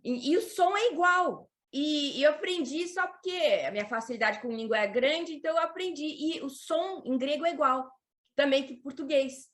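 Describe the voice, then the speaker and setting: A woman's voice: high voice, normal speed, a bit bright. A woman author from Brazil, chatting animatedly and asking questions in an online panel talk. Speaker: children's author.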